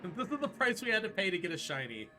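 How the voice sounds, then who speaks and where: A man giving casual live commentary as he plays a video game, excitable and joking. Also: sits high, racing, a little hiss or hum. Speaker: speedrunner playing and commentating.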